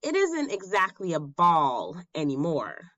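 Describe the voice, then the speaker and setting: A woman voicing an eager, pleading little boy mouse in a squeaky, excitable way for a children's storybook. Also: unhurried, dry recording, highs cut off, heavily acted. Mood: hopeful, insistent.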